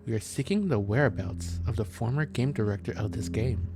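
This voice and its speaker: A man with a mid-pitched voice gives game commentary as a streamer. He talks aloud as he plays, with game music clearly behind him. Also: brisk. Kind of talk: casual let's play commentary.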